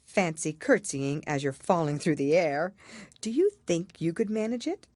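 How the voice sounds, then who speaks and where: A woman narrating a children's storybook as an audiobook reader, in an expressive style. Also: lowish voice, normal speed, dry recording, studio quality.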